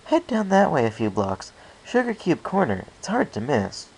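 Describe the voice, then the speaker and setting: Man voicing a distracted, airy young mare, lifted pitch. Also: wildly swooping pitch, normal speed, breathy edge, exaggerated voice, low-quality recording. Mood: distracted, casual. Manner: absent, distracted, casual. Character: distracted young female pony, absent but polite.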